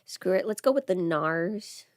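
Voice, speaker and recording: A woman's voice: lowish voice, lively intonation, a little slow, slight background noise. A woman thinking aloud in a casual, rambling livestream chat as she gets ready, swearing lightly. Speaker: livestream host.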